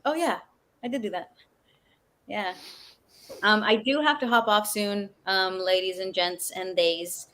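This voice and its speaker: A woman's voice: medium pitch, a little slow, pauses often, a bit bright. A woman talking casually on a livestream video call, with loose, slangy, informal phrasing. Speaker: actor, interview guest.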